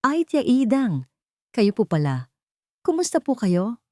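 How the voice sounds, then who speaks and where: A woman's voice: fairly high pitch, very animated, a little slow, darkish tone, dry recording, studio quality, voice pushed up. A woman voicing a polite, emotional young woman who has been wronged, in an audio story. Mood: polite, surprised.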